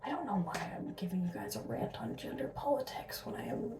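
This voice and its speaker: A young woman from Alberta talks in a soft, rambling whisper, running on no sleep. Her voice sits in the middle of the female range. It is recorded from a step away, with a roomy echo around it. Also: mid-range voice, wildly swooping pitch, brisk. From Alberta, Canada. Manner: soft whisper, rambling with frequent asides and laughs. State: sleep-deprived. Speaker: drag makeup vlogger. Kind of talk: casual whispered makeup tutorial. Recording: roomy, mic set at a distance.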